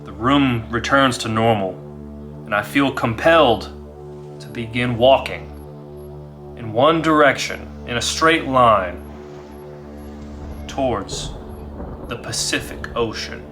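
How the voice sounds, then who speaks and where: A man's voice: mid-range voice, slow, pauses often, bright, forceful tone, faint music behind. A man who hosts a gaming channel, talking to his audience and telling stories of solo play. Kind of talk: dramatized horror story narration and casual review.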